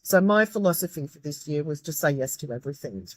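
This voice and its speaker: Woman, low croaky voice, earnest guest, dry close mic. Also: animated, a little fast, no echo. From Australia. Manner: earnest, grateful, with many restarts. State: croaky, tired voice. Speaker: guest, medium and tutor. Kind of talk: reflective interview talk.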